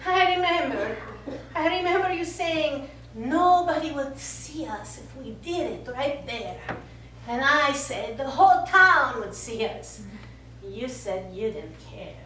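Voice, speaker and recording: Actress as slow-spoken bitter Italian woman, very echoey. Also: high voice, expressive, sharp tone, exaggerated voice. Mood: bitter, pained. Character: bitter wounded Italian war survivor, middle-aged woman. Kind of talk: stage play acting. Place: small theatre stage. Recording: echoey theatre stage, distant mic, faint noise.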